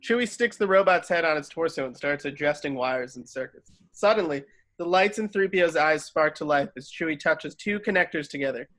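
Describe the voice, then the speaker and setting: A man's voice: on the high side, animated, a little fast, a bit bright. A man narrating screenplay stage directions in an amateur table read, sometimes stumbling and rereading. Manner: stumbles over words and rereads.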